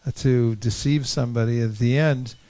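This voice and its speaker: A man leads a reflective group discussion. His voice is soft-edged and mellow, sitting at an ordinary pitch, with very little room around it. He thinks aloud, pausing and rephrasing as he goes. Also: fairly even intonation, dry recording. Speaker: discussion leader at a scripture class.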